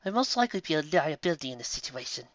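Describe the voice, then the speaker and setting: A man reads as a refined and reserved young mare, her voice soft and restrained. She sounds composed and inward. It is a dry, slightly dull recording. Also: mid-range voice, sing-song, very fast, dry recording, pitched above the performer's own voice. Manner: soft, restrained inner speech. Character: refined reserved young female cellist pony.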